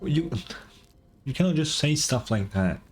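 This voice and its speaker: A man's voice: on the high side, animated, measured pace, slightly rough, faint music behind. Casual male game streamer thinking aloud. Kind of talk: casual reaction commentary.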